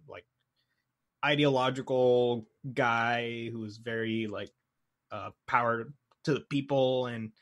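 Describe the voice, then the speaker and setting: Man with mid-pitched voice, hesitant casual chat. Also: a bit bright. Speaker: podcast co-host.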